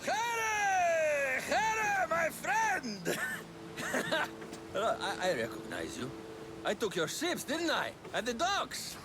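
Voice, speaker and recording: An actor playing a boisterous, carefree drunk dock foreman in a video game, loud and rambling. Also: extremely high pitch, wildly swooping pitch, drawn-out words, noisy background, full character voice. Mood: drunken, jovial. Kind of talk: video game cutscene acting.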